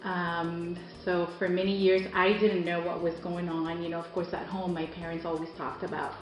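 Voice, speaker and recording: Low-voiced woman in a roomy recording. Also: little pitch movement, moderate pace. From Cuba. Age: about 40. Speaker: interviewee. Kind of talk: personal interview, recounting life story. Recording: roomy echo, music behind.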